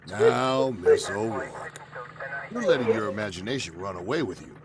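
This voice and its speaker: A man's voice: lowish voice, sing-song, moderate pace, crowd noise. A man acting a sceptical, reasoning character in a 1940s-style horror radio play. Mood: firm, dismissive. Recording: very noisy, clicking audio.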